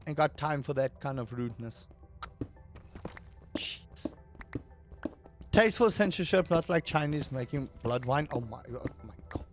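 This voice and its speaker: A man from England live-streaming a game, chatting casually with his viewers and breaking into excited exclamations. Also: on the high side, a little slow, long pauses, soft background music. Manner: animated, rising to excited exclamations; chatty, addresses chat directly. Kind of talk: live-streamed gameplay chat.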